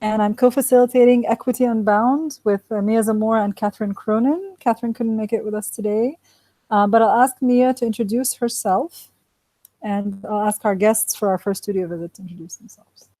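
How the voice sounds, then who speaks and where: A woman with a mellow, warm voice hosts an online panel from a dry, close-sounding setup. She talks in a relaxed, chatty way with plenty of fillers, over a video call. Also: on the high side, fairly quick, no echo, rough recording. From Kuwait. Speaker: host, associate professor.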